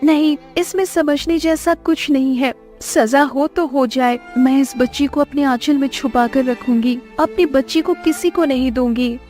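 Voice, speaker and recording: A woman acts out a poor village mother in a cartoon story. Her voice is high and emphatic, tender yet fiercely protective of her child. Faint music plays behind her. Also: normal speed, hardly any pauses, a little mellow, close to an everyday voice. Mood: desperate, pleading. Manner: emphatic, pleading, scolding. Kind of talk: Hindi cartoon story acting.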